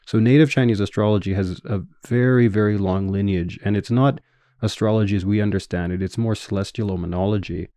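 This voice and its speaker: A man in his thirties with a Canadian accent, a guest scholar giving measured, explanatory answers in an interview with frequent fillers. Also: on the low side, fairly even intonation, dark tone, dry recording. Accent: Canadian English.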